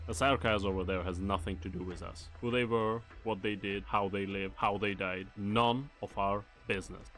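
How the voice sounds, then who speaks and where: A man's voice: on the low side, fairly even intonation, moderate pace, hardly any pauses, fairly bright tone, soft background music, natural voice. A man voicing a weary, pragmatic mercenary commander, terse and matter-of-fact, in an amateur game fan dub. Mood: resigned, blunt.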